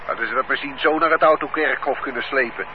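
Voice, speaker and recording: A man's voice: medium pitch, fast talker, lightly acted. An actor playing an idle older man, a former factory worker who grumbles and stammers out daydreams, in a radio play. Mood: grumbling. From Netherlands. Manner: grumbling, stammering repeats, dreamy. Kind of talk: radio drama acting. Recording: dull lo-fi radio play recording with faint hiss.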